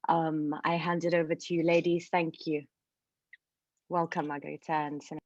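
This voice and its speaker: A woman moderator introduces the speakers in a low, flat voice. The sound is dry and muffled, as over a video call. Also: normal speed, dry recording. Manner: plain, brief, with fillers. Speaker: panel moderator.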